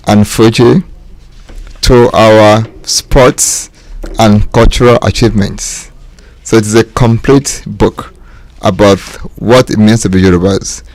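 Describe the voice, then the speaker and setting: A man's voice: fairly low pitch, darkish tone, no echo. A male author talking about his book on the radio, hesitant with fillers. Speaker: author and guest. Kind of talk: casual radio talk.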